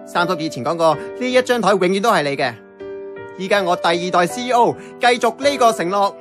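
A man's voice: high-pitched, lively intonation, fairly quick. An actor playing an earnest, grieving young male heir in a Hong Kong Cantonese radio drama, speaking a reflective inner monologue. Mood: resolute. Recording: music under the voice.

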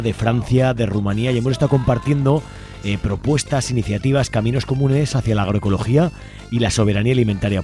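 A man's voice: lowish voice, darkish tone. A man with an accent from Spain giving an informal radio report as a host, often tagging his phrases with a little question. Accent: Spanish from Spain. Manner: frequently ends phrases with a questioning tag.

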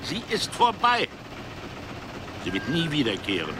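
Ship's navigator, radio drama: somewhat high male voice, explanatory, proud, clear noise behind. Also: huge pitch swings, unhurried. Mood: grave, final. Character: male ship's navigator, matter-of-fact, proud of modern methods. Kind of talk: German radio drama acting. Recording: clear outdoor ambience noise.

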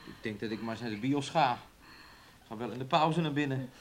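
An actor as a callous exploiter in a radio drama. The man has a middling pitch and a coaxing, insistent manner, on an old recording with faint noise. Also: normal speed, close to an everyday voice. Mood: cold, scolding. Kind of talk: radio play acting.

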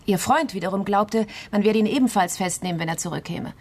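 A woman's voice: mid-range voice, a little fast, a little mellow, natural voice. An actress in a crime radio play voicing a composed, determined investigator, matter-of-fact and clipped as she reports a case. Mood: sober.

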